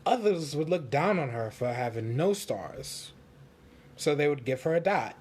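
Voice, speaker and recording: A man reading a storybook aloud for children in simple, deliberate phrases. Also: on the high side, animated, darkish tone, husky.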